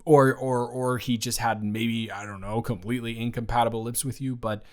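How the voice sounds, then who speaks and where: A man's voice: mid-range voice, fairly even intonation, close to an everyday voice. Man playing a smitten, rambling young roommate. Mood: flustered, dismissive. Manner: halting, rambling, stammering, emphatic bursts. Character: smitten, awkward, playful young male roommate confessing love. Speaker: audio roleplay performer. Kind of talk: improvised romantic audio roleplay, intimate one-sided conversation.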